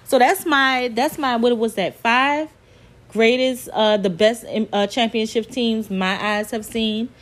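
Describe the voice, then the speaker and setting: Slow, chatty woman in her thirties. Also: fairly high pitch, fairly even intonation. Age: 30s. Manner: chatty, slow and emphatic, repeats points. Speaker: podcast host. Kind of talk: casual sports talk.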